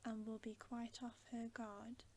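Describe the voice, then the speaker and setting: A woman's voice: on the high side, little pitch movement, a little slow, a little mellow, slight background noise. A woman voicing a shrewd, motherly female talking beaver, reasoning carefully in a whispered bedtime story reading. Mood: careful, concerned.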